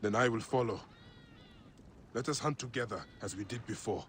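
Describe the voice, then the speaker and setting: Clear ambient noise surrounds a low male voice. It is an Egyptian warrior husband played by a male actor, heartfelt and grieving, a protector of his people in a video game drama. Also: moderate pace, close to an everyday voice. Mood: pleading, resolute. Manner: earnest, heartfelt.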